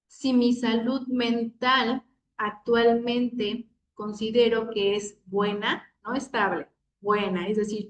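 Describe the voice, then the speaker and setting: A woman's voice: medium pitch, recorded from a distance, slight background noise. Woman teaching in an echoey room. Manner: steady, explanatory, with many fillers. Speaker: psychologist giving a workshop. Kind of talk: workshop talk. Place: conference room.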